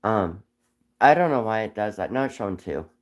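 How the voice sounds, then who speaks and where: A man with a cold and a raspy voice, chatting casually on a live stream while whittling wood, rambling with frequent ums. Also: fairly low pitch, lively intonation, a little fast. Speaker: livestream host whittling wood.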